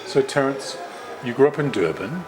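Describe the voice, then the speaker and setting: A man interpreting a signed interview aloud, voicing both the interviewer and the guest in careful, measured phrasing with small restarts. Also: medium pitch, expressive, music in the background. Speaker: sign language interpreter voicing both sides.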